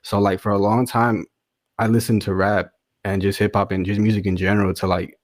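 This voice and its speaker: A man's voice: fairly low pitch, little pitch movement, a little fast, no room sound, clean studio sound. A man in his twenties telling stories casually as a podcast guest, in very slangy talk. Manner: slangy, says bro and dude often. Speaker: podcast guest, rapper and music producer. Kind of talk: casual chat storytelling.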